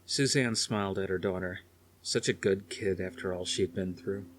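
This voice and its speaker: A man narrates a novel in a fairly low voice. The delivery is scripted audiobook storytelling, reading prose descriptions. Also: steady tone, normal speed, a little hiss or hum.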